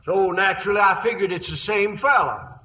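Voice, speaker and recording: A bright, high-pitched man as a rustic American pa in a vintage radio comedy. He drawls in cowboy dialect, flustered and stubborn, on an old broadcast recording. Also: a little fast. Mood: worried. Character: folksy newly rich Western father, flustered and stubborn. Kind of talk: 1950s radio comedy acting.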